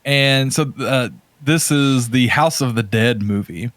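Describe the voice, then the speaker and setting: A man's voice: mid-range voice, slow, a bit bright, no echo. A man chatting casually as a podcast co-host, telling anecdotes in slangy, emphatic, exaggerated language. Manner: informal slangy speech, emphatic and hyperbolic.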